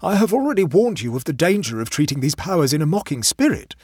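A man's voice: mid-range voice, very animated, brisk, slightly rough, no echo, clean studio sound, pitched above the performer's own voice. A man voicing a self-important young amateur occultist in a storybook, solemn but easily flustered. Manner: solemn, faltering under pressure.

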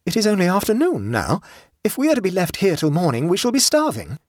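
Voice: mid-range voice, huge pitch swings, fast talker, no room sound, clean studio sound, pitched above the performer's own voice. A narrator voicing an anxious, dramatic male train traveller in a storybook, urgent and tremulous. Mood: eager.

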